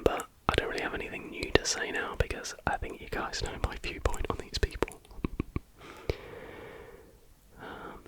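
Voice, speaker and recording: An ASMR host speaking in a breathy, unvoiced whisper, reading aloud in an unhurried, informal way. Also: rough recording.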